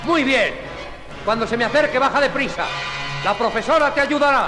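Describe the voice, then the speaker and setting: High-pitched, commanding Castilian space hero. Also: male voice, moderate pace, over a noisy crowd. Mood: shouting orders. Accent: Castilian Spanish. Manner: urgent, authoritative, declamatory. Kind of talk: 1950s Spanish radio serial acting. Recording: vintage radio drama with music behind.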